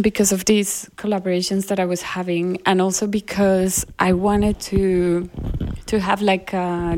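Colombian-accented woman musician, native Spanish speaker, lowish gravelly voice, hesitant and thoughtful in interview. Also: a little mellow. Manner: thoughtful, hesitant, laughs often. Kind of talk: interview conversation.

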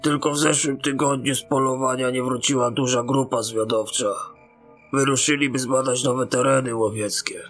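A man's voice: mid-range voice, fairly even intonation, normal speed, fairly bright tone, soft background music, lightly acted. An actor playing a battle-weary male ensign in a fantasy audio drama, reporting in a grave, respectful military manner.

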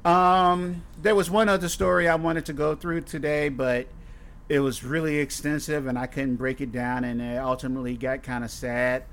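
A man's voice: on the high side, little pitch movement, moderate pace, a bit bright. Man, podcast host, casual chat, blunt, swears a lot, rambling.

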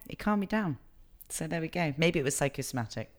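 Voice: medium pitch, expressive. Chatty, enthusiastic podcast host. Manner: chatty, enthusiastic, quick asides. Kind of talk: casual interview chat.

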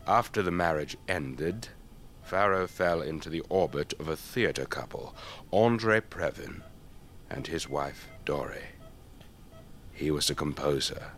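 An actor playing an elderly English retired air force officer in a radio drama, avuncular and chatty, with old-fashioned clipped politeness. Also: male voice, sits low, fairly bright tone, slight background noise, exaggerated voice. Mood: gossipy storytelling. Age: older.

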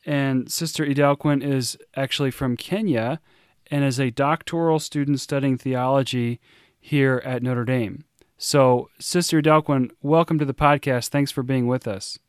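A man hosting a podcast, reading a polite scripted intro and asking measured interview questions. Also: mid-range voice, steady tone, clear, resonant tone, dry recording, studio quality. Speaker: podcast host.

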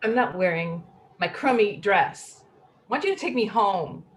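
A woman in a play reading, playing a naive, eccentric woman with halting, repetitive phrasing. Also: mid-range voice, lively intonation, a little hiss or hum, natural voice. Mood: insistent. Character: naive, eccentric woman upset about a talking icebox. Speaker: actor in a staged play reading. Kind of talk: readers theatre acting.

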